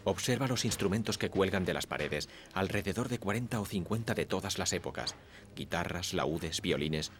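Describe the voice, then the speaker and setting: A man reading audio description narration in a calm, matter-of-fact way. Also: deep voice, normal speed, a little hiss or hum. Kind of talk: scripted narration.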